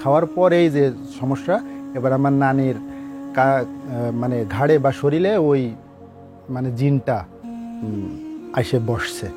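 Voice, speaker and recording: A man with a Bangladeshi accent telling a ghost story informally, in a halting, colloquial, repetitive way. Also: medium pitch. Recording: voice over prominent background music. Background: music.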